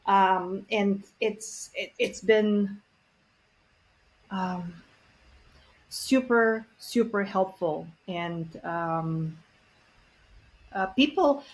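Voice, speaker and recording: A woman, a guest in an interview, talking earnestly and reflectively with frequent pauses and fillers. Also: medium pitch, low-quality recording. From Bulgaria. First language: Bulgarian. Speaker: guest expert on multilingual parenting. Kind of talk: conversational interview.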